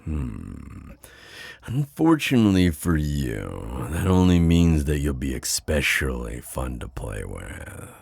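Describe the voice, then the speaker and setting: A male actor voices a predatory, flirtatious villain for an intimate ASMR roleplay. His voice is extremely low and husky, recorded dry and close. He taunts slowly, with big swings in intonation. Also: very animated, darkish tone, no room sound, full character voice. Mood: smug, taunting. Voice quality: very deep, gravelly, breathy. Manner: slow, taunting, smooth-talking with drawn-out pauses. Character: teasing, predatory male buyer at a supernatural auction.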